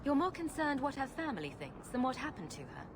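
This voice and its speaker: A woman's voice: high voice, big pitch swings, brisk, noisy background. A woman voicing a witty, sarcastic young rogue adventurer in a video game, wry and confident. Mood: probing. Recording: music behind the voice.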